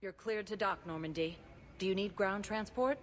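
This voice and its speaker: Actress as docking controller amid loud noise. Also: female voice, mid-range voice, normal speed, close to an everyday voice. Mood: businesslike. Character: polite, efficient docking traffic controller. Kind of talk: video game character acting. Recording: very noisy ambience around voice.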